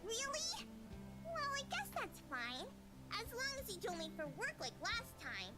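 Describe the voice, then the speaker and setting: Energetic, cheeky young girl, very high and lively, visual novel game. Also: measured pace, exaggerated voice. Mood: chatty. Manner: bubbly, cheeky, childlike. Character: energetic cheeky little girl with a sweet tooth. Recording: loud music behind the voice.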